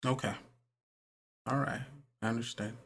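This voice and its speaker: A man playing a soft-spoken, consoling woman caretaker who speaks in a hesitant, reassuring way. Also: medium pitch, fairly even intonation, measured pace, vocal fry, breathy edge, full character voice.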